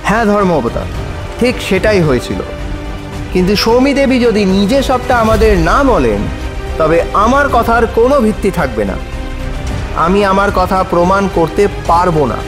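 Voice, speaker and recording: A man playing an earnest advocate arguing deliberately and respectfully in court, in an audio drama. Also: high-pitched, big pitch swings. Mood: earnest, pleading. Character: Aniket, earnest male advocate arguing a trafficking case in court. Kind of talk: Bengali audio drama acting. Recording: dramatic music under the voice.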